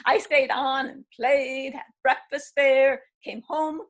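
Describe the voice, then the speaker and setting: An actress reading the part of a defiant seventy-one-year-old divorcee who laughs at old age, in a readers theatre play. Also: female voice, sits high, steady tone, takes it slowly. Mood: gleeful. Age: 70s. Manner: laughing, brash and amused. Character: spirited elderly divorcee, mischievous and defiant. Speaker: actor.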